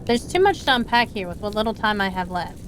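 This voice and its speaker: A woman's voice: mid-range voice, big pitch swings, fast, fairly bright tone, slight background noise. A woman streaming a game live, reading visual novel lines aloud as she plays. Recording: game music behind the voice.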